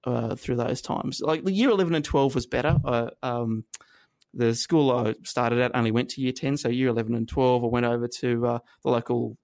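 An Australian man in his thirties, a guest reflecting on his past in a halting, self-deprecating way, with many restarts and fillers. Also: mid-range voice, steady tone, creaky, darkish tone. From northern New South Wales, Australia. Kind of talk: reflective conversational interview.

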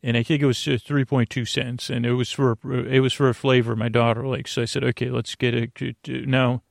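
Male sleep podcast host, mid-pitched with vocal fry, halting and meandering bedtime monologue. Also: level tone, dry recording, clean studio sound. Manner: meandering, halting, repetitive, trailing off.

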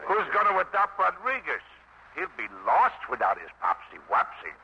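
A man's voice: high-pitched, huge pitch swings, normal speed, vocal fry, full character voice. A man acting a wisecracking American soldier, teasing and sarcastic in slangy talk, in a 1950s radio drama. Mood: mocking. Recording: muffled old radio broadcast, faint noise.

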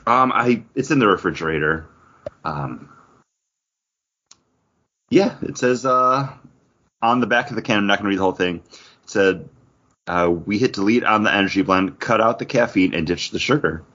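A man's voice: fairly low pitch, lively intonation, moderate pace, pauses often, a bit bright, dull audio. An American man, a podcast host, chatting casually on a show.

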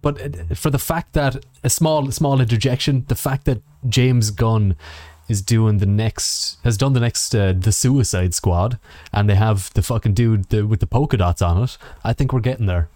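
A man's voice: lowish voice, moderate pace, hardly any pauses, warm tone, dry recording. Wry, informal man with a dry-room sound. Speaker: podcast co-host. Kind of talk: casual movie and game chat.

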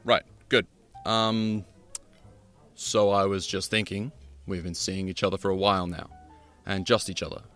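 A man's voice: sits low, little pitch movement, a bit bright, faint music behind. A man playing a hesitant, easily hurt but tender young boyfriend on a date in an audio drama. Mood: tender, nervous. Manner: hesitant, halting.

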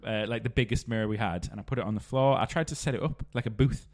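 A man's voice: fairly low pitch, fast talker. A man hosting a podcast, rambling through anecdotes and laughing mid-sentence. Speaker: podcast host. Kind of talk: casual chat.

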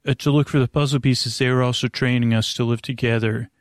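A man hosting a bedtime podcast, rambling in an improvised, halting way with stammered, repeated word starts and a gravelly, creaky voice. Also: medium pitch, steady tone, no room sound, clean studio sound. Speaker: podcast host.

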